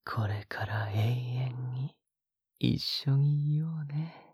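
Actor performing young male lover, very breathy whisper, slow delivery, possessive, clingy, tender, audio drama. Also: medium pitch, a little mellow, no room sound, clean studio sound, heavily acted. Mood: hushed contentment. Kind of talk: intimate Japanese audio drama acting.

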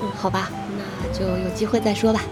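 An actress playing a friendly, supportive young pro gamer chatting familiarly and curiously in a Chinese audio drama. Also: female voice, medium pitch, flat delivery, fairly quick, loud music behind the voice, close to an everyday voice.

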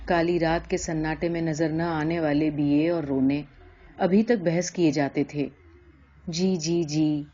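A woman's voice: deep voice, steady tone, warm tone, lo-fi sound. A woman narrator reading a literary short story as scripted narration.

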